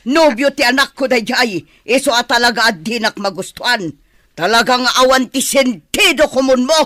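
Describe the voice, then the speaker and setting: A woman's voice: on the high side, lively intonation, normal speed, a bit bright, no room sound, studio quality. A woman playing a haughty middle-aged mother in an Ilocano audio drama, stern and indignant as she questions people. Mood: indignant, scolding. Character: haughty, stern mother scolding gossips and her daughter.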